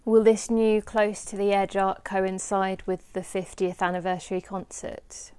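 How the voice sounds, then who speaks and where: A woman's voice: mid-range voice, flat delivery, unhurried, a little mellow, smooth, dry recording. A woman, co-hosting a live stream, reading out viewer questions and teasing the artist in a playful, laughing way. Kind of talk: casual chat.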